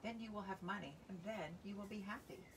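Woman voicing a gentle, selfless old storybook tree. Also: medium pitch, lightly acted. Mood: gently giving. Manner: gentle, unhurried storybook delivery. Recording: distant mic, clear outdoor background noise.

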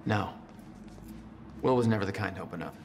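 An actor playing a skeptical, practical action hero in a cinematic video game, speaking in a casual, understated way with a gravelly voice. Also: male voice, low voice, fairly quick. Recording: clear ambient noise behind the voice.

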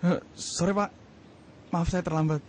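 Man playing an earnest, mellow young footballer. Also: a little high, steady tone, measured pace, slight background noise, close to an everyday voice. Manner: polite, persuasive. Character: earnest, polite young male football player. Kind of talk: radio drama acting.

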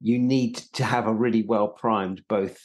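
A middle-aged British man with a slightly low voice. He explains conversationally like a teacher, starting and restarting phrases as he works through his point. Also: steady tone, darkish tone. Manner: halting, repeats words as he explains. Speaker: acting teacher, podcast host. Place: indoor room on a video call.

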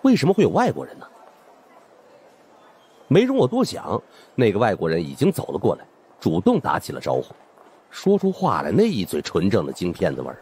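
A man's voice: low voice, huge pitch swings, a little fast, long pauses, warm tone, husky. A man narrates suspense fiction as a dramatized first-person audiobook storyteller.

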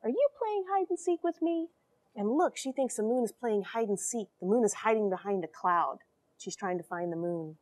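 A woman in her forties reading and explaining a story to children in an animated, questioning way from a small airlock. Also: medium pitch, moderate pace. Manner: animated, expressive, addresses young listeners with questions. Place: small cramped metal space station airlock.

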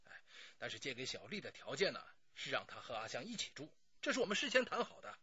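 A man's voice: mid-range voice, sing-song, quick pace, sharp tone, breathy edge, no room sound, lo-fi sound, full character voice. An actor playing a talkative, self-justifying middle-aged man under police questioning in a radio drama, pleading and sighing as he explains. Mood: frank, defensive.

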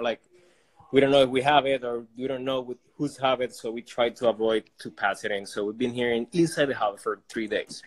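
Puerto Rican man with a medium-pitched voice. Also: low-quality recording. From Puerto Rico. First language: Spanish. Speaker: caller, personal trainer. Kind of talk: casual chat. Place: indoor room at home. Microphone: phone at arm's length.

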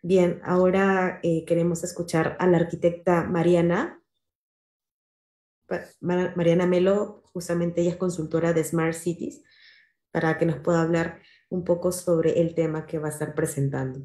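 A woman moderates an online engineering forum and formally introduces each speaker by title. Her voice is low and mellow. It comes over a roomy video call. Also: level tone, normal speed. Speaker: host, moderator.

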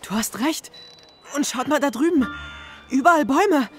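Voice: sits high, expressive, moderate pace, a bit bright, a little hiss or hum. A young performer playing a responsible, fair-minded boy, the eldest brother, in an audio drama. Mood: calm.

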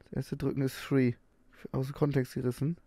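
A man's voice: mid-range voice, little pitch movement, a little fast, mellow, no echo. Young man, headset mic, casual streamer reaction, bemused questions, close dry sound. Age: young adult. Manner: bemused, asks questions aloud. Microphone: headset with boom mic.

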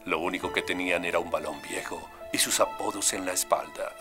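A man's voice: deep voice, steady tone, brisk, bright, forceful tone, soft background music. A man voicing a solemn documentary storyteller, giving dramatic narration in a cartoon. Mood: solemn, mysterious.

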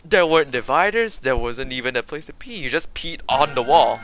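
A man's voice: mid-range voice, lively intonation. A young man from Malaysia, chatting casually and joking with his chat while livestreaming a game. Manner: goofy, rambling, quick jokes to chat. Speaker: streamer.

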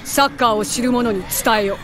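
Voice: mid-range voice, brisk, a bit bright, a faint crowd, natural voice. An actor playing a haughty, menacing young alien conqueror who makes formal, pompous proclamations in an anime game. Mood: cold, commanding. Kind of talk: dramatic anime game voice acting.

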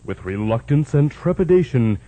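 A man's voice: medium pitch, sing-song, moderate pace, mellow, dull audio. Man as a portentous horror radio narrator. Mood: ominous. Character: ominous, grandiose horror tale narrator. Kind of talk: dramatic scripted radio narration.

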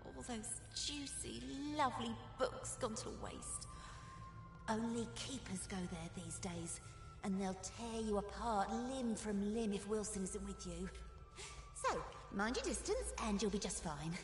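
A doting female housekeeper creature acted by a woman for a video game, chirpy and faintly creepy. She fusses eagerly at a middle pitch in a long, echoing hall, with music clearly behind her. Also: expressive, measured pace, lightly acted. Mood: wistful, chatty. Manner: fussy, eager, singsong hospitality. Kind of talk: video game character acting.

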